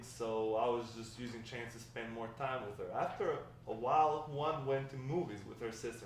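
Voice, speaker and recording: A man playing a grandfather who fondly recounts his wild, lovestruck youth in a halting table read. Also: medium pitch, lightly acted. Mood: fond, nostalgic. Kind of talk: table read of a dramatic script. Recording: echoey room, distant mic, faint noise.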